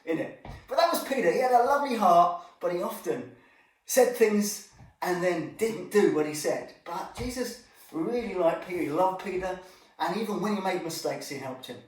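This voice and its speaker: A man's voice: high-pitched, animated, moderate pace. A man telling an informal children's Bible story, chatting directly to his young listeners as he presents. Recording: echoey room, distant microphone.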